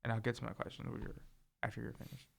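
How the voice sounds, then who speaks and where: A young man co-hosting a casual chat, soft-spoken and hesitant, filling his talk with "like" and "um". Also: on the low side, racing, vocal fry. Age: young adult. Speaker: podcast co-host.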